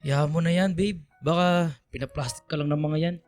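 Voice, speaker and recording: A man's voice: fairly high pitch, fairly even intonation, normal speed, a little mellow, natural voice. A man plays an irritable Filipino boyfriend in a radio drama. He nags and gets defensive in short, dismissive phrases. Music runs underneath his voice. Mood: sulky, accusing. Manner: curt, nagging, defensive.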